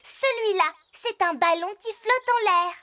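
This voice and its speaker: A woman's voice: very high voice, measured pace, background noise. A woman voicing a cheerful, confident little girl piglet in a cartoon, childlike and earnest. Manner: giggly, childlike, explaining earnestly. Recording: music playing behind.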